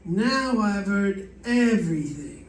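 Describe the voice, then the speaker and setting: Skeptical detective's sidekick, played by a woman, vintage radio drama; unhurried and dry, heard from far off in an echoey room. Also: mid-range voice, lively intonation. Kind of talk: live re-creation of old-time radio drama. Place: live stage performance in a reverberant room. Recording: echoey, distant, noisy room pickup.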